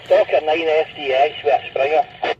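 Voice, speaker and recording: A man's voice: on the high side, moderate pace, darkish tone. A Scottish man chatting casually as an operator over a CB radio link. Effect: CB radio transmission. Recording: dull, noisy CB radio link.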